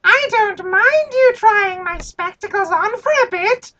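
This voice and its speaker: A woman doing a squeaky little storybook gnome. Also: expressive, bright, forceful tone, lo-fi sound, a little hiss or hum, heavily acted. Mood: kindly. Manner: squeaky, slow and sing-song. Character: kindly sly little male gnome.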